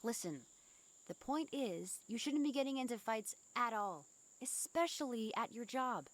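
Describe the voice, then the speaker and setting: A woman's voice: a little high, lively intonation, unhurried, no echo. A woman voicing a stern but caring teenage schoolgirl, scolding yet concerned, in a fan dub of a visual novel game. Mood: stern, flustered.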